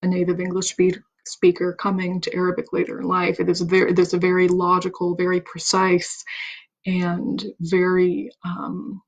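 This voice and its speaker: A woman hosting a live stream, reading a book aloud in a steady voice. She stumbles on some words, restarts them and stops for chatty asides. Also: fairly low pitch, normal speed. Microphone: computer mic on a home live stream.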